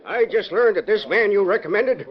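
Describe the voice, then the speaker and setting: A man's voice: sits high, moderate pace, crowd noise. A man acting a bossy, indignant small-town mayor in a 1940s radio western, barking accusations and orders. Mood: angry, commanding. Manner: domineering, accusing, clipped commands. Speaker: actor. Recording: dull, lo-fi 1940s radio recording.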